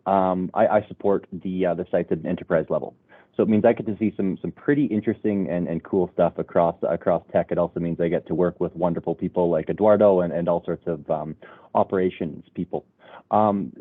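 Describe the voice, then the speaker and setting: Casual, upbeat automation specialist, deep-voiced, on tinny web call audio. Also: male voice, breathy edge, no room sound. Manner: casual, upbeat, many fillers. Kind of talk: webinar self-introduction.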